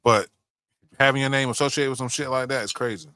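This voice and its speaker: A man's voice: medium pitch, fairly even intonation, fast talker, bright, forceful tone, clear, resonant tone, dry recording, clean studio sound. A man co-hosting a podcast, chatting casually and bluntly, with opinions and plenty of swearing. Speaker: podcast co-host. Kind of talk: casual chat about movies and games.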